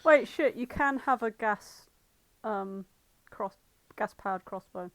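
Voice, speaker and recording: A woman co-hosting a podcast in casual banter, blunt, sweary and quick to argue. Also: mid-range voice, a bit bright, no room sound. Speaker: podcast co-host.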